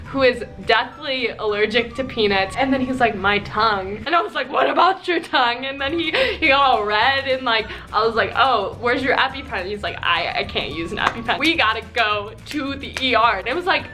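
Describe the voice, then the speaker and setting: High, bright young woman. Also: brisk, few pauses, soft background music. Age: young adult. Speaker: host's friend and guest. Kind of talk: casual comedic storytelling.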